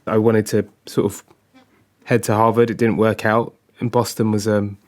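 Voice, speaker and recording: A man's voice: fairly low pitch, little pitch movement, normal speed, darkish tone, natural voice. A man playing a disappointed, withdrawn academic husband, speaking hesitantly and guardedly in a naturalistic audio drama. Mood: defensive, deflated.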